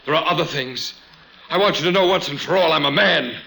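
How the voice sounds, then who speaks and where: An actor playing a cold, impatient aristocratic officer and lover, declaiming theatrically in an old-time radio drama. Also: male voice, on the high side, fairly quick, vocal fry, pushed and bright, slight background noise, poor audio. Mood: exasperated.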